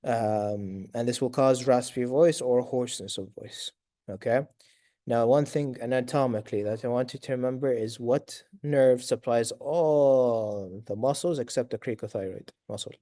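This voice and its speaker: Raspy man teaching, dark dry voice. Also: medium pitch, no echo. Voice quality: raspy from long talking. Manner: quizzes students, praises answers briskly; sprinkles Arabic fillers like tamam, inshallah. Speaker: medical lecturer. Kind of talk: lecture to students.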